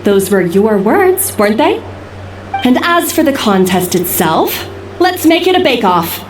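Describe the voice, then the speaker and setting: An actress playing a proud, hot-tempered female chef who talks in a sharp, taunting way in a video game. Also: on the high side, big pitch swings, unhurried, slight background noise. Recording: echoey, music behind the voice.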